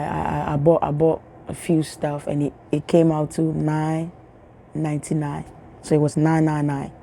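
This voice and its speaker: A woman from Africa, a spiritual healer, talks casually to her audience in a rambling way, repeating words and often dropping into hushed tones. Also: low-pitched, gravelly, slight background noise. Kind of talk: casual spiritual talk to camera.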